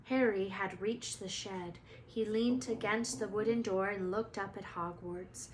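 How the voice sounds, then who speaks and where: A woman narrating a children's novel aloud as a storyteller, with expressive delivery. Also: medium pitch, a little slow, slight background noise, low-quality recording.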